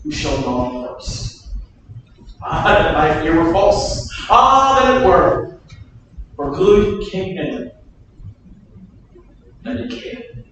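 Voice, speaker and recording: A man reading a proud, wronged noble duke in a staged Shakespeare reading, with measured, declamatory verse. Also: a little high, expressive, a bit bright. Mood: grieving. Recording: echoey hall, far from mic, faint noise.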